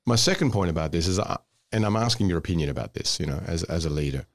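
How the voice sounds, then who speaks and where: Very deep-voiced middle-aged interviewer. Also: male voice, animated, fast talker. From Scotland, raised in Australia. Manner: reflective, many "you know" fillers. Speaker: interview host. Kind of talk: interview conversation.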